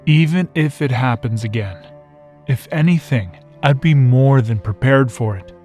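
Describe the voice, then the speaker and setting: A man playing a protective master of orphaned boys, speaking in a formal, measured, earnest way in a manga dub. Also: medium pitch, a little mellow, soft background music, lightly acted. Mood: earnest reassurance.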